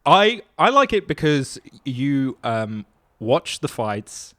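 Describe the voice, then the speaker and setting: Male podcast guest, mid-pitched, teasing and sarcastic comic chat, mock-grandiose, dry recording. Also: big pitch swings, slow, fairly bright tone, dry recording.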